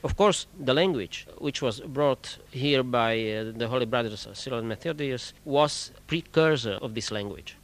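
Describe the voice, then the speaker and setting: Choir director guy, careful formal answers, mid pitch. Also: normal speed, hardly any pauses. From Czech Republic. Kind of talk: interview answers.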